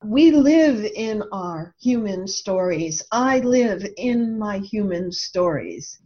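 A woman explaining her ideas in a reflective interview style, speaking earnestly and deliberately, with emphatic repeated phrasing. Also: mid-range voice, lively intonation, warm tone. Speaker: author and podcast guest. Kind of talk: reflective explanatory talk about own ideas. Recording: dull, lo-fi audio.

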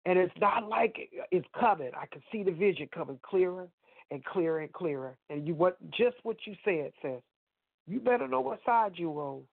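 A woman's voice: on the low side, animated, normal speed, gravelly, no echo. Woman co-host, stuffy nose, emphatic testimony style, dry tinny phone line. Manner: emphatic testifying, talks straight to listeners. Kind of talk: faith talk radio chat.

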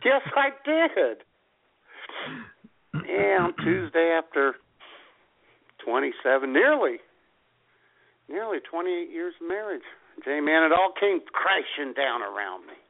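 A middle-aged man banters as a comedy radio co-host with a creaky, gravelly edge. His pitch jumps around in animated, wry delivery. The audio is narrow and tinny, like a phone line. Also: takes it slowly, long pauses.

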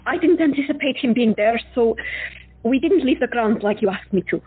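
A woman's voice: on the high side, animated, brisk, slightly rough. A woman voicing a weary, short-tempered woman doctor who answers curtly, on a lo-fi recording with music. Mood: defensive. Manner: exasperated, curt. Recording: lo-fi, phone-like, with music.

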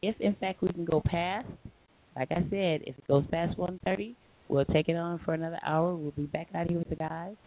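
A woman's voice: lowish voice, steady tone, brisk. A woman hosting a late-night call-in radio show. She argues back emphatically and repeats herself. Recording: tinny phone line with faint hiss.

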